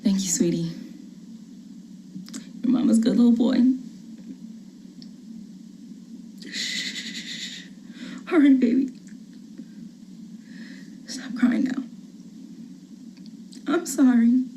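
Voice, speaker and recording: A woman's voice: a little high, lively intonation, takes it slowly, pauses often, whispered. A woman playing a desperate mother pleading with her son. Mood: tender remorse. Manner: shifts from soft pleading to angry threats. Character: desperate young mother coaxing her armed little son. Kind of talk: acted dramatic monologue.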